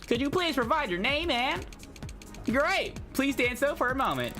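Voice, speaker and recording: A man's voice: very high-pitched, sing-song, moderate pace, sharp tone, voice pushed up, heavily acted. A man voicing a polite, chirpy hologram clerk from a game, in a scripted customer-service manner. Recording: music playing behind the voice.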